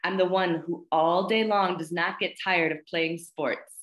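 A woman's voice: lowish voice, steady tone, measured pace, roomy sound. A woman in her thirties hosting a student poetry reading over a video call, with an encouraging manner. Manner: encouraging, praising. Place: plain indoor room, on a video call.

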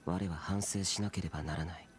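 A man's voice: deep voice, steady tone, brisk, natural voice. A man playing a solemn, aloof young immortal demon slayer in a Japanese anime game, stern and terse. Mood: resolute. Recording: game dialogue over music.

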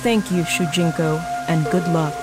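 A woman's voice: deep voice, steady tone, unhurried. An actress playing a regal young female warrior princess in a video game cutscene, commanding and urgent. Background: music.

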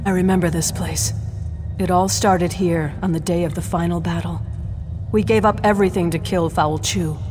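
An actress playing a solemn, resolute guide who mourns a lost love in a video game, speaking deliberately. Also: female voice, fairly low pitch, fairly even intonation, lightly acted. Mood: grieving, determined. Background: music.

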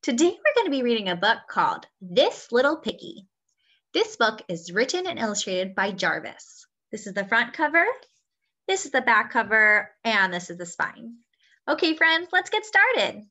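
A woman's voice: a little high, big pitch swings, moderate pace, bright, forceful tone. A young woman reading a picture book aloud to children, cheerful and sing-song, counting along, recorded on a webcam in a small room at home. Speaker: children's story time reader.

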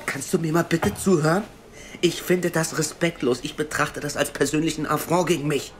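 A man's voice: fairly high pitch, steady tone, normal speed, a little hiss or hum, close to an everyday voice. Man playing a touchy, stammering tech nerd. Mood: fussy. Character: fussy nervous male tech expert, sulky. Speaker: Moritz. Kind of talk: audio drama acting.

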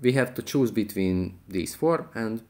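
A man's voice: lowish voice, lively intonation, unhurried, darkish tone. A man hosting an app tutorial, explaining things step by step. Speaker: tutorial host.

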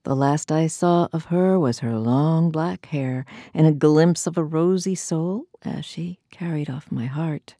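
A woman performs spoken-word poetry in a deep, dark voice with a husky grain. Her delivery is theatrical and deliberate, with breathy, hushed drops. The sound is close and dry. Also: no echo. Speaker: poetry reader.

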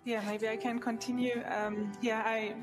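A woman in her thirties from Germany, whose first language is Turkish, speaks earnestly with many pauses and restarts. Her voice is a little high, and clear music plays behind her. She speaks through a headset mic on a studio stage. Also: flat delivery. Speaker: panel guest. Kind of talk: panel discussion.

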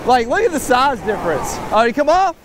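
Excitable man, surf roaring behind. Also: very high voice, quick pace. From Alabama. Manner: excitable, bursts into whoops and exclamations. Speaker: fishing vlogger. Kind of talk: casual on-the-spot fishing commentary. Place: open ocean beach outdoors. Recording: outdoor beach recording with clear surf noise. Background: surf and waves.

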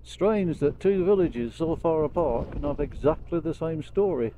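A man with a Lancashire accent giving casual, unhurried storytelling commentary as he rides a motorbike, speaking into a helmet mic. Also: fairly high pitch, animated, dark tone, low-quality recording. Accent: Lancashire English. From Lancashire, England. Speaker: motorcycle vlogger. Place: outdoors on a moving motorbike. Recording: vehicle noise while riding.

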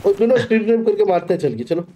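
Male game streamer, young adult, high but soft-toned voice, casual squad banter. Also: expressive, racing. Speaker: gaming streamer. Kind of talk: casual squad gameplay chat.

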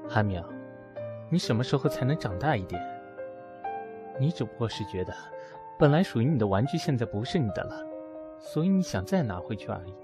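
A man acting a proud, wounded schoolteacher in an audio drama. He speaks curtly and coolly at a middling pitch, with music behind him. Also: very animated, fairly quick, long pauses, close to an everyday voice. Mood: cold, hurt. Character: proud, wounded male math teacher rejecting his former lover. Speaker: voice actor in an amateur audio drama. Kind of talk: acted audio drama dialogue.

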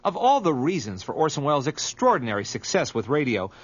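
A man's voice: medium pitch, sing-song, fairly quick, slightly rough. A man reading scripted documentary narration. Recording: dull, muffled audio.

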